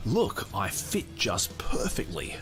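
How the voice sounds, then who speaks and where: A man's voice: lowish voice, wildly swooping pitch, slow, soft background music, voice pushed up, exaggerated voice. A man voicing a smug talking puddle. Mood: smug wonder. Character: smug self-satisfied talking puddle, male.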